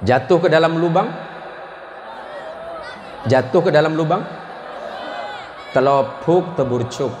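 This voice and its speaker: A man's voice: on the high side, slow pace, long pauses. Male preacher, Madurese-tinged Indonesian accent, joking and quizzing the crowd, echoey hall, faint background noise. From West Kalimantan, Indonesia. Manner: jokes and quizzes the audience, mixes in Madurese and Javanese words. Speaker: Islamic preacher. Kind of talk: humorous sermon to a live audience. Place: large event space with an audience.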